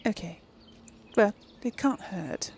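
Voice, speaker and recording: Female gaming host, slow-paced and lively in pitch, playful casual role-play commentary over faint ambience. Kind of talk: casual role-play game commentary, reading dialogue aloud. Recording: faint background noise.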